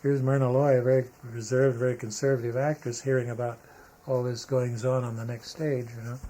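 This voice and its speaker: An older American man telling anecdotes casually as an interviewee, haltingly searching for words. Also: mid-range voice, flat delivery, dark tone. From United States (Arizona). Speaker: interviewee, retired-era Hollywood photographer. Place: photographer's studio, indoors. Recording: noisy room ambience.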